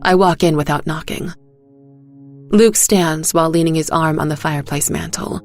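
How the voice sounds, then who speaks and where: A woman's voice: low-pitched, fairly even intonation, moderate pace, soft background music. A woman reading a scripted first-person story as a narrator.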